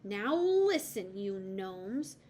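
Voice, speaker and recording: A woman voicing a small, timid girl who speaks gently and a little higher than the narration. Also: lively intonation, unhurried, slight background noise. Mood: firm.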